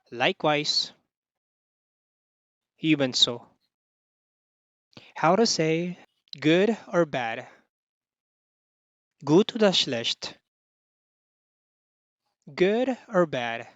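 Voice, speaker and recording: Slow lesson host with dull, dry sound. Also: medium pitch, no room sound. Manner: slow and even, repeats each phrase for practice. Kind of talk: scripted lesson narration.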